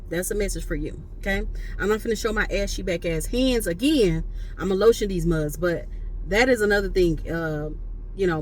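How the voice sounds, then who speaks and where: A woman's voice: on the low side, a little hiss or hum. A woman in her late thirties, chatting casually and playfully to her viewers, slangy and loose. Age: late 30s. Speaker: vlogger. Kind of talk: casual talk to camera.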